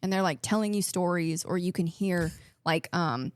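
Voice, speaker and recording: Low-voiced chatty woman podcaster. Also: moderate pace, no room sound. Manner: chatty, reads facts aloud, laughs easily. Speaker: podcast co-host. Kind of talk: casual podcast chat.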